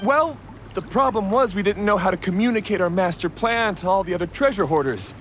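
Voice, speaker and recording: A man's voice: high-pitched, animated, brisk, a little hiss or hum. A man playing a timid, pleading young bandit henchman in a video game. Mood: confessing.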